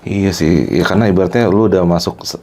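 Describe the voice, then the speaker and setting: A man's voice: sits low, fast talker, a little mellow. A man hosting a podcast, giving short agreeing replies in casual slang. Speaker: podcast host.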